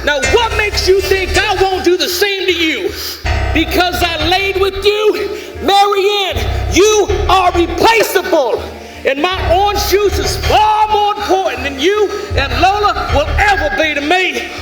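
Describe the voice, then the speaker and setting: Man acting shrill, cruel slave master, domineering. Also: measured pace. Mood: furious, threatening. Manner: taunting, domineering. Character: cruel, menacing male slave master taunting his slaves. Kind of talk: theatrical stage drama acting. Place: large indoor theater stage. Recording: echoey theater, clear music underneath.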